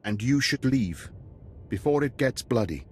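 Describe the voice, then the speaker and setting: A man playing a weary, courteous but guarded imperial governor in a video game, speaking in a measured, formal way. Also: medium pitch, expressive, a little hiss or hum, natural voice. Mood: weary, resigned. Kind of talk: video game cutscene acting. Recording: music behind the voice.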